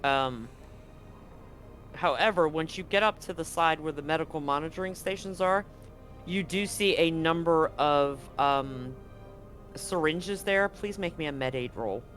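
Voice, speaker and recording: A man narrating as game master, describing scenes in a halting way with frequent ums and restarts. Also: a little high, a bit bright, soft background music.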